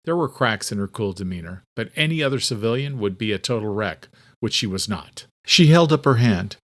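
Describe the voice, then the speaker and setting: A man's voice: fairly low pitch, expressive, brisk, fairly bright tone. A man reading scripted, dramatic narration for an audiobook.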